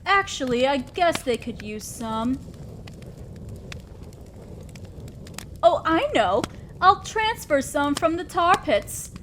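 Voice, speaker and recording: A woman's voice: sits high, big pitch swings, a little slow, fairly bright tone, a little hiss or hum, full character voice. A woman voicing a stern, condescending female demon lord in a scripted roleplay audio drama, speaking as an exacting boss of hell. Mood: businesslike, wry. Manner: commanding, condescending, scolding.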